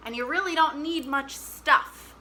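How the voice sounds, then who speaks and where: Very bright, high-voiced woman hosting storytime. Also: a little slow, a little hiss or hum. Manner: animated, chatty, addresses children directly. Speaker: children's storytime host. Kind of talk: storytime reading and chat to young children.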